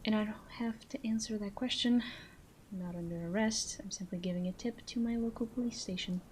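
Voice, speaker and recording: Mellow, flirty mafia boss played by a woman. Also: medium pitch, a little hiss or hum, natural voice. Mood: coolly evasive. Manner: flirtatious, teasing, deliberate, with breathy asides. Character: flirty, menacing female mafia boss, smug and teasing. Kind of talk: roleplay audio drama acting.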